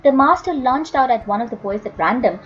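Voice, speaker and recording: A woman reading a story aloud as an expressive audiobook narrator. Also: a little high, fairly quick, dull audio, a little hiss or hum.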